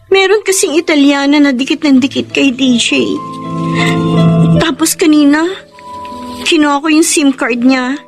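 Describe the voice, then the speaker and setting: A woman's voice: sits high, unhurried, pauses often. A woman with a Filipino accent playing a jealous, hurt young mother and girlfriend in a radio romance drama. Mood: troubled, confiding. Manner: emotional, suspicious. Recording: music bed underneath.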